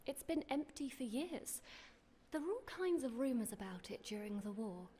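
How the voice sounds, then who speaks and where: A woman's voice: a little high, big pitch swings, moderate pace, warm tone, a little hiss or hum. Actress as teasing young English woman, faint ambience. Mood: wry. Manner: well-spoken, teasing, wry. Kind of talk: period radio drama acting.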